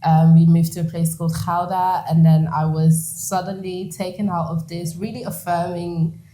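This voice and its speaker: Young woman from the Netherlands, mellow low voice, animated storyteller, laughs easily; interview guest. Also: moderate pace, slight background noise, poor audio. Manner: animated, laughs often, acts out quoted lines.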